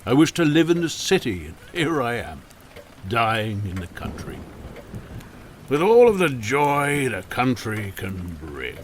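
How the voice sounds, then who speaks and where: An actor playing a querulous, self-pitying old retired lawyer in an audio drama, complaining theatrically. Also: male voice, medium pitch, very animated, unhurried, a little hiss or hum. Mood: rueful, self-mocking.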